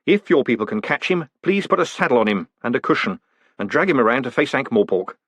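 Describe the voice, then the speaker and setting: Brash postmaster, played by a male reader: commanding, cocky, clipped, lively swooping pitch, rough husky tone. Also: dry recording, highs cut off. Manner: clipped orders and quick questions.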